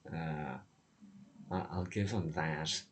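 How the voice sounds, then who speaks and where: A man with a hoarse, breaking voice giving a rambling personal monologue in a morose, sardonic, halting way. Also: very deep voice, fairly even intonation, slight background noise. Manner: morose, weary, sardonic gloom; halting, with long pauses. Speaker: vlogger.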